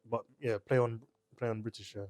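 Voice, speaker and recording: A man's voice: medium pitch, steady tone, a little fast, darkish tone. A man co-hosting a casual book discussion podcast, talking hesitantly with many fillers. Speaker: podcast co-host.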